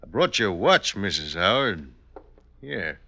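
Old West outlaw, radio drama, very sharp tone, lowish pitch, playful menace, folksy clipped commands. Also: male voice, very animated, a little slow, gravelly, highs cut off, exaggerated voice. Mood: affectionate, folksy. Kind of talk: classic radio western acting.

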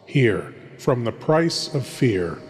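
A man's voice: medium pitch, very animated, takes it slowly, a little hiss or hum. A man hosting a horror show, giving a theatrical, solemn and ominous scripted introduction. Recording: heavily reverberant studio voice-over.

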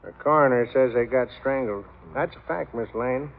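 An actor playing a folksy, chatty old morgue attendant with ungrammatical speech in an old-time radio drama. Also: male voice, mid-range voice, normal speed, vocal fry, narrow, tinny audio, natural voice. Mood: chatty, matter-of-fact. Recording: noisy, phone-thin old radio recording.

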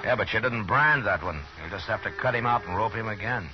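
An actor playing a steady, shrewd frontier lawman in a 1950s radio Western, measured and matter-of-fact. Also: lowish voice, close to an everyday voice. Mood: calm, probing. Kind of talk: 1950s radio drama acting with narration. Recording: dull vintage 1950s radio recording with music.

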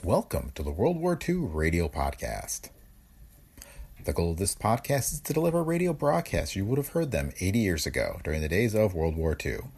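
A man's voice: sits low, sing-song, moderate pace, a little mellow, slightly rough. A man reads a scripted introduction as a podcast host.

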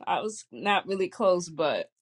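A woman with a Boston accent, chatting casually and answering with quick, supportive affirmations. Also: fairly low pitch, animated, breathy edge. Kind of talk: casual chat.

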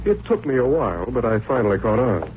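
An actor playing a cynical, hard-drinking newspaper columnist, wry and needling, in 1940s radio drama style. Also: male voice, mid-range voice, sing-song, brisk, close to an everyday voice. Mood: bitter, needling. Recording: tinny, hissy old radio recording.

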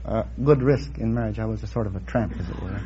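A man's voice: lowish voice, quick pace. A man speaking as a radio quiz contestant, hesitant and dry, with bookish phrasing. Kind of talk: interview banter on an old radio show. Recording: dull, slightly noisy old radio recording.

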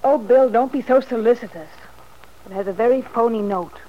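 An actress playing a bitter, anxious young wife in a vintage radio soap, with a brittle, ironic, weary manner. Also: female voice, on the high side, moderate pace, lo-fi sound, close to an everyday voice. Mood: sardonic, brittle. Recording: faintly noisy old radio recording.

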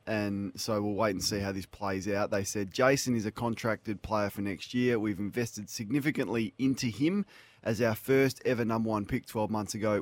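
A man with an Australian accent hosting a sports radio show, delivering news and reading out lists briskly. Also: fairly low pitch.

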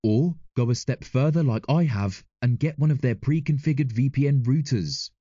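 A man's voice: dark tone, dry recording, lo-fi sound. Man reading a scripted ad. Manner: upbeat, scripted sales pitch. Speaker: ad reader. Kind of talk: read advertisement.

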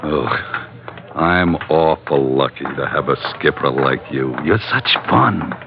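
A man's voice: very deep voice, slow pace, gravelly, full character voice. A man playing a tough, easygoing sailor sidekick with a sardonic, casual drawl in an old-time radio adventure. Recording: muffled vintage radio recording.

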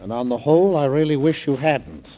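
An actor playing a weary, sarcastic cabinet member in a 1940s radio drama, on a dull old broadcast recording. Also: male voice, mid-range voice, lively intonation, brisk, close to an everyday voice. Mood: wry. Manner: dry, sarcastic. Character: weary, wry male cabinet member, middle-aged.

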